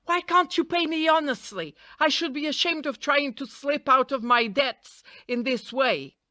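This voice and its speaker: A woman's voice: high-pitched, measured pace, bright, forceful tone, no echo, studio quality, voice pushed up. A woman voicing a crafty, bossy raven in a storybook, bargaining in an emphatic manner. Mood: indignant.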